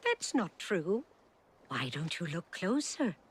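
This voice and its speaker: An actress playing a gentle, knowing older fairy godmother in a video game, with a coaxing, theatrical manner. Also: lowish voice, big pitch swings, takes it slowly, breathy edge, exaggerated voice. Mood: gentle, inviting. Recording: game music behind the voice.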